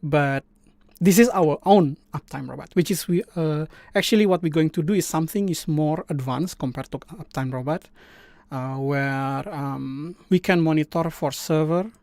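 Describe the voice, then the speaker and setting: Indonesian guy hosting a coding stream, kinda high voice, lots of ums and restarts. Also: darkish tone, no echo. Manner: halting, many fillers and restarts. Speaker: live stream host. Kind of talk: casual coding stream chat.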